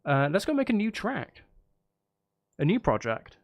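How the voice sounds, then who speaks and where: A man's voice: sits high, wildly swooping pitch, measured pace. A man chatting casually on a live stream, rambling and thinking aloud in a hesitant way. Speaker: live streamer composing game music.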